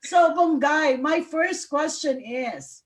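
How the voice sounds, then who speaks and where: Bubbly talk show host, a woman, slightly high voice, lots of fillers and laughter, on a video call. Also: lively intonation, takes it slowly. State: sleepy. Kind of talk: live online talk show.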